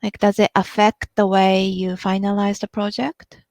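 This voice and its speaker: A woman's voice: mid-range voice, level tone, normal speed, darkish tone, no room sound. Woman moderator on a video call, attentive, dry close sound. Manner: attentive, frequent backchannel murmurs. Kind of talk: moderating an online meeting.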